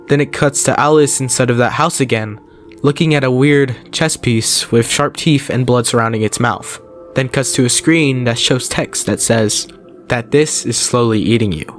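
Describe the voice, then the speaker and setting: Male narrator for horror recap commentary, middle-pitched voice, casual storyteller delivery, light music underneath. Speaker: video narrator, host. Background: background music.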